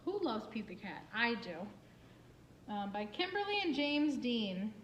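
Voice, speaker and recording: Slow, high-pitched woman reading to children. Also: highs cut off, a little hiss or hum. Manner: expressive, singsong, asks listeners questions. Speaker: children's librarian reading picture books. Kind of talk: animated storytime read-aloud with asides to young listeners. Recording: roomy, echoing room sound.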